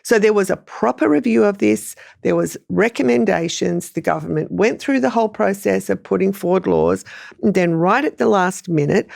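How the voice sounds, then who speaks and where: A woman's voice: fairly low pitch, vocal fry, a little mellow. A woman with an Australian accent giving conversational, explanatory political analysis as a news panellist.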